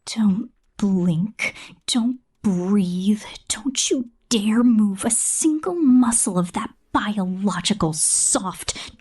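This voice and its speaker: A woman performs as a possessive female killer robot in an ASMR roleplay. She slips between breathy whisper and husky murmur, drawing out each taunt with sadistic menace toward her human prey. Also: mid-range voice, darkish tone. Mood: menacing, hungry.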